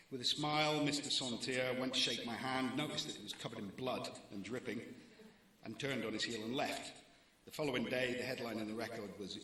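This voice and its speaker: A man's voice: fairly low pitch, bright, forceful tone, reverberant, slight background noise. A man in his forties with a Scottish accent, a newspaper editor giving a prepared talk to students with dry, wry humour and comic timing.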